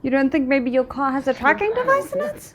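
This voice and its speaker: A woman's voice: high-pitched, big pitch swings, rapid-fire, a bit bright. A woman giving casual, wry reaction commentary, putting rhetorical questions to the characters on screen. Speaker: reaction video host. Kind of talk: casual live reaction commentary to a drama series.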